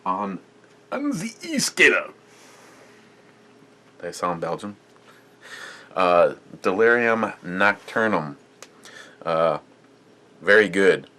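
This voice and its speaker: Guy rambling about a beer he's trying, low voice, slow, bright tone, lots of uhs. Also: big pitch swings. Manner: rambling, chatty, many fillers and restarts. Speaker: beer reviewer. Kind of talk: casual beer review vlog.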